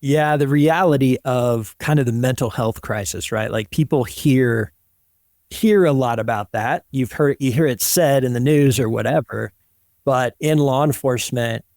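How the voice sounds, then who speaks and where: A man's voice: medium pitch, moderate pace, vocal fry, a little mellow, no room sound, studio quality. A man hosting a podcast, interviewing in a casual, colloquial way and often checking in with short tag questions. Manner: colloquial, emphatic, checks in with "right?" and "you know". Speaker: podcast host.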